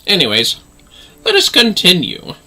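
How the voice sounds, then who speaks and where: Very bright-voiced man livestreaming a game. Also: sits high, huge pitch swings, unhurried, rough recording. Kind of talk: gaming livestream chat and reading game dialogue aloud.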